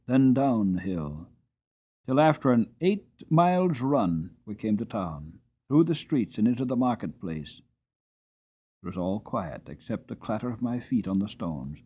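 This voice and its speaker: A man giving an expressive, dramatic reading of a classic storybook as narrator. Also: on the low side, breathy edge, narrow, tinny audio. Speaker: audiobook reader.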